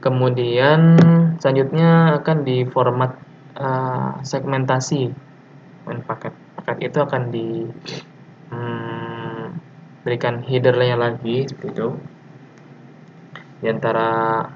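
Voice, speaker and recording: A man gives an informal lecture presentation over slides, explaining technical material and often pausing mid-sentence. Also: mid-range voice, level tone. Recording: dull lo-fi audio with faint noise.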